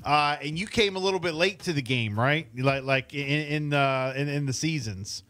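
A man's voice: on the high side, normal speed, a bit bright. A middle-aged man hosting a casual morning radio interview in a chatty, informal, effusive way on a studio mic. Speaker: radio host. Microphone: studio mic on boom arm, headset.

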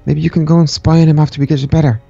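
A man's voice: fairly high pitch, little pitch movement, rapid-fire, gravelly, mellow, breathy edge, no room sound, highs cut off. A man playing a sad, caring young boy slave who talks urgently and repeats himself, in a Minecraft roleplay. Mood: miserable, anxious. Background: music.

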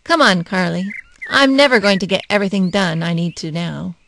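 A woman's voice: on the low side, expressive, fairly quick, creaky, fairly bright tone, a little hiss or hum. A woman acting a harried, defensive mother in an audio drama. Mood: curt, stressed. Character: harried, defensive mother juggling work and child.

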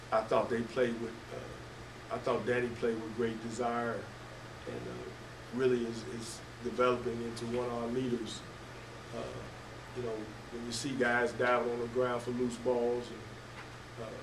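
Older coach guy, lots of uh's, flat voice, echoey noisy press room. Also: mid-range voice, distant mic. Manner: halting, many fillers and restarts. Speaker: basketball coach. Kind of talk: postgame press conference answers. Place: press room at a table before a team backdrop.

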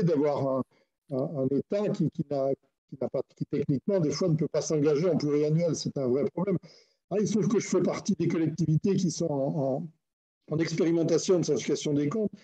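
A man's voice: a little high, mellow, slightly rough, dull audio. A man speaking as a local government official on a panel, giving his opinion while searching for words.

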